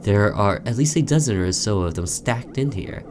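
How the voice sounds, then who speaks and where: Low-voiced, animated male let's player. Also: normal speed, noisy background. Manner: theatrical reading mixed with offhand joking asides. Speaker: gamer reading a visual novel aloud. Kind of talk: let's play commentary with dramatic read-aloud narration.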